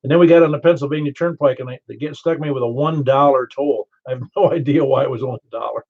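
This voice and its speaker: An older man with a Southern American accent running a ham radio net, chatty and quick to laugh as he tells stories. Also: medium pitch. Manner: chatty, storytelling, laughs easily. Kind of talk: casual radio chat. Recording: muffled ham radio audio.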